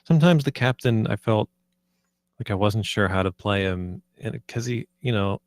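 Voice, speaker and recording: A man giving polite, casual feedback, talking in a measured and tentative way. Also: fairly low pitch, dry recording, clean studio sound. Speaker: voice actor giving script feedback.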